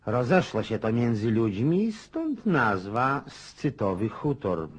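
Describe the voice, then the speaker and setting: An actor playing a boorish, domineering Russian country landowner in a radio drama, blustering, bossy and folksy. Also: male voice, mid-range voice, sing-song, slow, slightly rough, full character voice. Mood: jovial, expansive. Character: boorish, boisterous provincial Russian landowner, domineering husband and father. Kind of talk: Polish radio drama acting.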